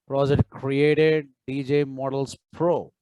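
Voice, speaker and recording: A man leads an online programming class, talking students through each step and urging them to join in. He speaks slowly at a middle pitch, and the sound is close and dry, with no room echo. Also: flat delivery, mellow, clear, resonant tone. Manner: coaxing, repeats prompts to students. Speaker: programming instructor. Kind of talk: live online coding class.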